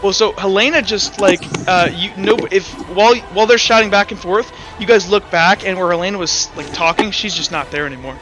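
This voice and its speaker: A man acting as game master, narrating and explaining rules in a halting, self-correcting way. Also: high voice, over music. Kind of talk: improvised tabletop game narration and rules talk.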